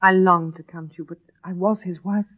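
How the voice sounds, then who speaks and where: An actress playing a devoted, passionate runaway wife in a radio drama, with refined delivery. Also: female voice, fairly low pitch, fairly even intonation, a little fast, natural voice. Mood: tender, earnest. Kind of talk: early radio drama acting. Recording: tinny, band-limited old radio recording.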